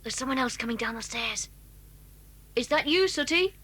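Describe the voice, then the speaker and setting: A woman's voice: high voice, expressive, normal speed, sharp tone, slightly rough, full character voice. A woman playing an eager, bossy schoolboy adventurer in a children's audio drama, urgent yet well-mannered. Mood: urgent, hushed.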